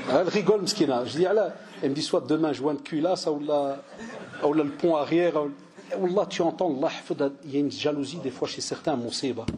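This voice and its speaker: A man in his forties from Belgium, an imam giving a religious lecture, telling lively stories and mixing in Arabic phrases. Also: on the high side. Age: 40s. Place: mosque cultural center hall.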